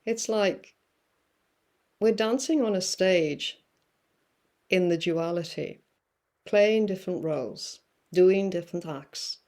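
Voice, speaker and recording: A woman guest musing through spiritual ideas, with many fillers and pauses. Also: on the low side, darkish tone. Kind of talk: reflective spiritual talk.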